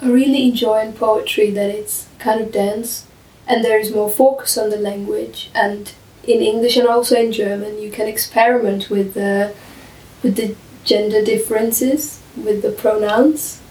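A woman whose first language is Hungarian, speaking slowly and thoughtfully in a casual podcast discussion, often searching for her words. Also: medium pitch, fairly even intonation, a little mellow. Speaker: podcast guest. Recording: echoey room, far from the mic.

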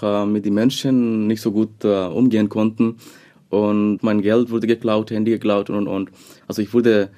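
A young man from Bangladesh, a second-language speaker, answering interview questions haltingly with many fillers. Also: on the low side, mellow. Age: young adult.

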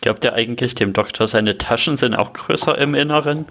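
A man's voice: medium pitch, a little fast, creaky, a bit bright. A man chatting casually as a podcast co-host among friends. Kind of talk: casual chat.

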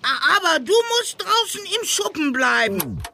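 Scared old woman, high, gravelly, halting, slow, children's radio play, noisy recording. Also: very animated, pushed and bright, full character voice. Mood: wary, hesitant. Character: frightened, hard-of-hearing old woman in a hut. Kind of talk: German children's audio drama acting. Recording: noisy old audio drama clip.

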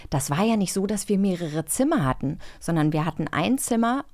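A woman's voice: lowish voice, animated, brisk, darkish tone. A woman co-hosting a podcast in a casual, conversational style. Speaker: podcast co-host.

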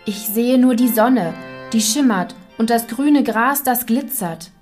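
A woman's voice: fairly high pitch, slow, a little mellow, faint music behind. A woman voicing a watchful young sister in a fairy tale, calling out in a lilting way from a tower. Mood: watchful, resigned. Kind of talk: dramatised fairy tale acting.

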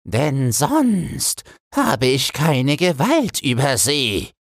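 A man voicing a sly, menacing devil in a fairy tale, scornful and commanding, with drawn-out words and a husky rasp. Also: mid-range voice, huge pitch swings, no room sound, clean studio sound, voice pushed up, full character voice. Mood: angry, threatening. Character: sly menacing devil posing as old stranger.

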